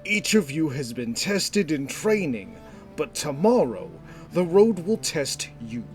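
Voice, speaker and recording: A man voicing a calm, authoritative guildmaster with a measured, commanding delivery, in a fantasy audio story. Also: fairly high pitch, faint music behind, voice pushed up. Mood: calm authority. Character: calm, authoritative scarred male guildmaster addressing recruits.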